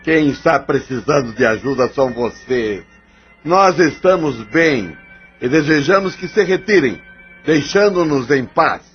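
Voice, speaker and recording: Man acting a hostile, defiant spirit, higher-pitched. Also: animated, measured pace. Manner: confrontational, commanding. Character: hostile male troubled spirit, defiant toward intruders. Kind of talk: audio drama acting. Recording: dull lo-fi audio. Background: background music.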